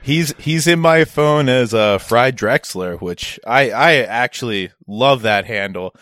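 A man's voice: mid-range voice, takes it slowly, fairly bright tone, no echo, studio quality. A man co-hosting a podcast, bantering enthusiastically and casually. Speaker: podcast co-host. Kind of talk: casual chat.

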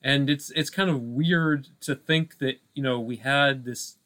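A man with a medium-pitched voice talks casually as a film podcast co-host. He feels his way through each thought in a halting, conversational way, leaning often on small filler phrases. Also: sharp tone. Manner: halting, heavy use of "you know" and "like".

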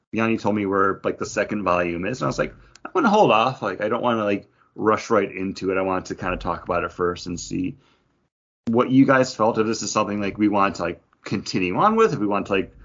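Male co-host, a little low, easygoing casual discussion with lots of "like", dull lo-fi recording. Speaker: podcast co-host.

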